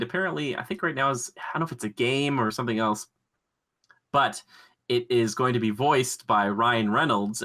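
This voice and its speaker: A man with a bright, forward voice and a middling pitch hosts a casual chat show about pop culture. He talks in an animated, rambling flow and leans on dry sarcasm. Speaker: podcast host.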